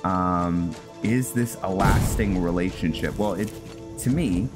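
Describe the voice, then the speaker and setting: Slow, low-voiced man commentating on gameplay. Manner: hesitant, rambling, thinking aloud. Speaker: gaming video host; roleplay story writer. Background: music.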